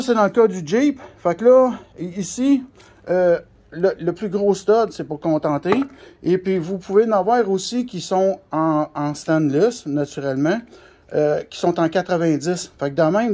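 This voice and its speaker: A man's voice: sits high, moderate pace, mellow. A man with a Québécois French accent giving a casual, unscripted hands-on product demonstration in blunt, colloquial language. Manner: blunt, colloquial, mildly crude. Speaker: CB radio shop specialist presenting a product tutorial.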